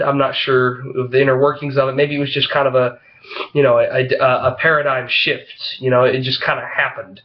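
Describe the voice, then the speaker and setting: A man with a head cold and a slightly froggy voice, vlogging casually to camera in a halting, rambling way full of fillers. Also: medium pitch, highs cut off. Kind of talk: casual opinion vlog talking to camera.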